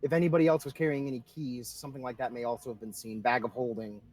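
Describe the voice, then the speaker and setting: A man serves as game master in a casual online tabletop session. His voice is husky, with a mid-range pitch, and he talks informally with some swearing. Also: steady tone, quick pace, a little mellow.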